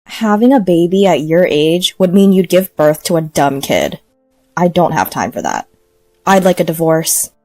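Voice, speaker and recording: A woman's voice: lowish voice, animated, moderate pace, warm tone, dry recording, soft background music, close to an everyday voice. A woman voicing a callous husband in his thirties, dismissive and belittling, in a narrated story. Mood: cold.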